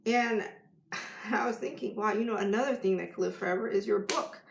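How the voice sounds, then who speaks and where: A woman's voice: medium pitch, moderate pace, gravelly, roomy sound. A woman who is a life coach talks in a casual live vlog, chatty and rambling, with many restarts.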